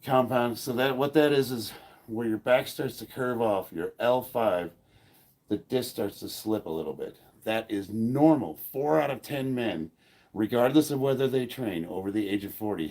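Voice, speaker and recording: A fifty-something American man coaches a workout in a husky, congested voice. He sounds short of breath and talks loosely, rambling and trailing off in the middle of thoughts. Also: fairly low pitch, moderate pace, a little mellow. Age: 50s. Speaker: powerlifting coach. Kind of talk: casual training vlog.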